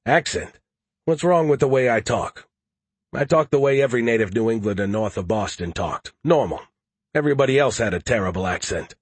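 A man's voice: mid-range voice, expressive, brisk, husky, no room sound. A man voicing a wry, plain-spoken American soldier with casual, defensive dry banter, in an audiobook. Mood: puzzled, defensive.

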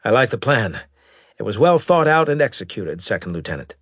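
A man's voice: fairly low pitch, sing-song, fairly quick, fairly bright tone, no echo, studio quality, exaggerated voice. A man playing a stern starship captain in an audiobook, with a commanding, clipped, formal manner. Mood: approving. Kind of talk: audiobook character acting.

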